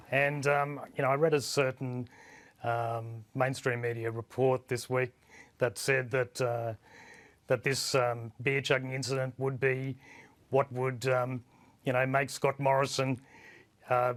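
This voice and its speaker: A man with a husky voice, a guest on a TV panel, plain-spoken and hesitant with many fillers. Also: mid-range voice. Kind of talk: live TV panel discussion. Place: remote studio link.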